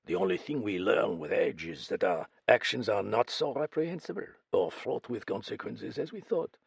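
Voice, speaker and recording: A man's voice: mid-range voice, big pitch swings, normal speed, vocal fry, breathy edge, no echo, full character voice. A man voicing a theatrical, scheming older Frenchman, speaking in a mannered way.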